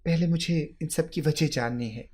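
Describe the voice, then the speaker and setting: A man's voice: a little high, normal speed, a little mellow, pitched above the performer's own voice, lightly acted. A man voicing a stern, suspicious young daughter-in-law in a dramatic story, questioning firmly and bitterly. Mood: firm, challenging.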